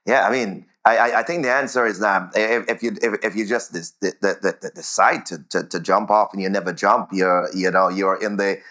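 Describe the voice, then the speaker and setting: A man's voice: lowish voice, steady tone, a little fast, hardly any pauses, sharp tone. A man with a Jamaican accent answering interview questions conversationally, repeating words as he goes and often checking in with "right?".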